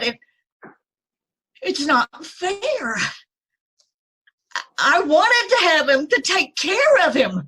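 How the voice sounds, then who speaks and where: A woman in a radio drama playing a bitter, grieving grandmother who is widowed and alone, speaking in a halting, resentful way. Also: high voice, bright, forceful tone, heavily acted, poor audio. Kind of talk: 1950s radio drama acting.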